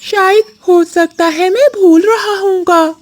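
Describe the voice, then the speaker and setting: A woman's voice: very high-pitched, fairly even intonation, takes it slowly, pitched above the performer's own voice. A woman voicing a naive, earnest young male parrot in a children's cartoon. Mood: doubtful. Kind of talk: children's cartoon story acting.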